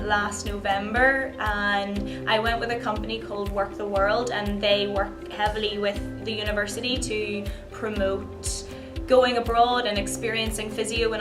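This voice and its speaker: A chatty young woman talks about her course and plays out short quoted remarks as she goes. Her voice comes from a distance in a roomy space, with music playing clearly behind. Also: mid-range voice. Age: young adult. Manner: chatty, acts out little quoted exchanges. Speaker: physiotherapy student. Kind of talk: casual talk to camera.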